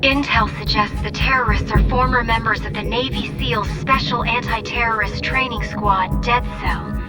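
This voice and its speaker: An actress playing a young, precise military analyst who gives informative mission briefings in a video game. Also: female voice, on the high side, sing-song, moderate pace, loud music behind the voice. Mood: businesslike. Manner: informative, articulate.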